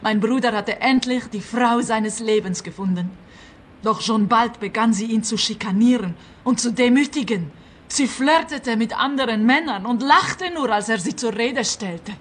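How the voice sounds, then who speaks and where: A woman's voice: fairly high pitch, moderate pace, natural voice. An actress playing an embittered, distraught woman who dramatically airs her grievances in a radio play. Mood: aggrieved. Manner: dramatic, aggrieved. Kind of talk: comic radio play acting.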